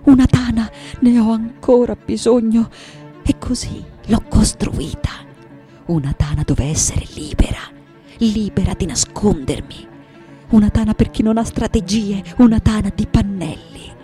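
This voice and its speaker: An actress playing a reflective, anguished and defiant artist in her late nineties in a theatrical, deliberate radio monologue. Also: medium pitch, warm tone, faint music behind, lightly acted. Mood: urgent, pleading. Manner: theatrical, deliberate, dwelling on repeated words. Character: 98-year-old woman artist, intense, reflective, anguished, defiant.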